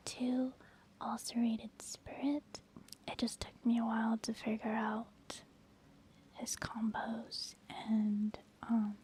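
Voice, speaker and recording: A woman giving soft-spoken ASMR game commentary in a breathy, hushed whisper, gently explaining as she goes. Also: a little high, a little hiss or hum. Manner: gentle, unhurried, softly explaining. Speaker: host of a gaming ASMR channel.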